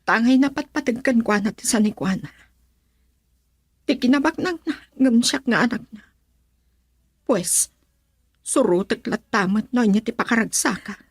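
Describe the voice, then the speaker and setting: A woman's voice: a little high, unhurried, long pauses, slightly rough, dry recording, clean studio sound. A woman playing a headstrong, insistent young bride-to-be who defies her father in an Ilocano radio drama.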